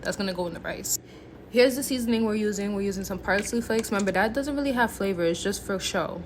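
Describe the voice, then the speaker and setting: A woman's voice: medium pitch, quick pace, slight background noise. A woman giving a casual, playful cooking tutorial, chatting to viewers informally as if they were friends. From Haitian background. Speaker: home cook, recipe vlogger.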